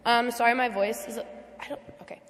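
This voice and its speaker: A teenage girl with a slightly hoarse, scratchy voice, giving an earnest, heartfelt church testimony with frequent ums. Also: fairly high pitch, fairly even intonation, fairly quick, a bit bright. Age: teens. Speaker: youth testimony speaker. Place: church sanctuary. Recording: long reverb of a church hall.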